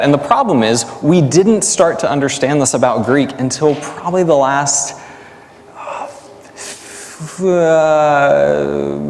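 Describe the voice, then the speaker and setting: A man's voice: medium pitch, a little mellow. A man, an academic guest in an interview, explaining things in a thinking-aloud way with everyday examples. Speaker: academic guest, biblical language scholar and teacher. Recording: echoey hall, long reverb.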